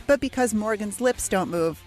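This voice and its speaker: A woman's voice: medium pitch, animated, normal speed, darkish tone, faint music behind. A woman co-hosting a podcast with a sardonic manner, in scripted recap and casual chat. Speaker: podcast co-host.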